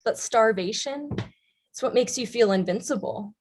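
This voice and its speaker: A woman's voice: fairly high pitch, moderate pace, slight background noise, lightly acted. A woman playing a bitter, combative young woman in a treatment group in a staged play reading, blunt, sarcastic and profane. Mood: taunting, bitter.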